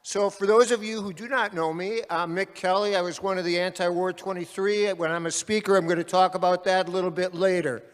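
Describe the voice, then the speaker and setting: A man moderating a panel at an activist conference, speaking loudly and calling out to the crowd like a rally speaker. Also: fairly even intonation, fairly quick, few pauses. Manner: loud, booming, calls out to the crowd. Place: large conference hall. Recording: reverberant hall.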